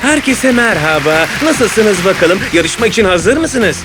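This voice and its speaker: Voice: mid-range voice, very animated, a little slow, very noisy. An actor voicing a cool, confident young male pop-star dog in a Turkish children's cartoon dub, with a breezy, showy delivery. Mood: upbeat.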